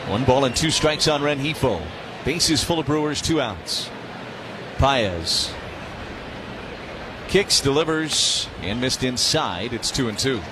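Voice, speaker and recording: A man's voice: mid-range voice, lively intonation, slow, long pauses, background noise. A man calling a baseball game live on the radio as play-by-play announcer. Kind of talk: live radio sports commentary. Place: stadium broadcast booth.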